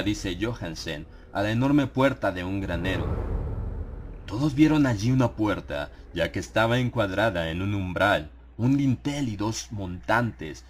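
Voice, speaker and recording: A man narrating a dramatized horror audiobook in a solemn, measured manner with suspenseful pauses. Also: lowish voice, slight background noise. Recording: clear ambient sound effects behind the voice. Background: atmospheric horror sound effects.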